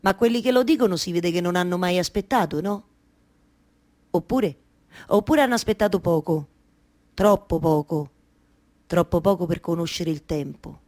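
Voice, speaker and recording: A woman's voice: on the low side, moderate pace, long pauses, darkish tone, lightly acted. An actress playing a melancholy, wry waiting woman in a theatrical monologue, with a Roman Italian accent and repetitive, colloquial storytelling. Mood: wistful, intimate. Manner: incantatory repetition, shifting from declaiming to intimate colloquial storytelling.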